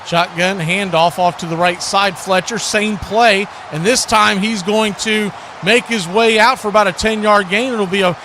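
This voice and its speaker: An American man giving live football play-by-play in short clipped phrases that build with excitement. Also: sits high, normal speed, background noise. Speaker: play-by-play announcer.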